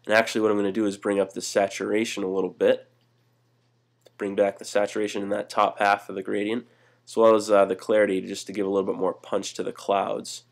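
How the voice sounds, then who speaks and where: Young guy, low voice, easygoing tutorial host, lots of fillers. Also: fast, vocal fry. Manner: relaxed, many "uh" fillers and restarts. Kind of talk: casual screen-recorded software walkthrough.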